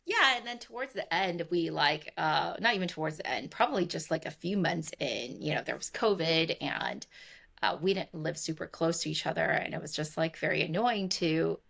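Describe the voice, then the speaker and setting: A woman's voice: low-pitched, moderate pace, vocal fry, a bit bright. A woman guest in a remote interview, talking casually with lots of "like" and "right?", laughing easily. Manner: chatty, heavy on fillers like "like" and "right?". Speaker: guest, tech investor and former podcaster. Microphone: remote call recording.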